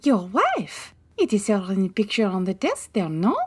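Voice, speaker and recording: An actress playing a sultry, melodramatic French temptress who speaks broken English with dramatic pauses in a comic radio drama. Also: female voice, medium pitch, huge pitch swings, slightly rough. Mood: seductive, mournful. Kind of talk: comedic old-time radio detective acting.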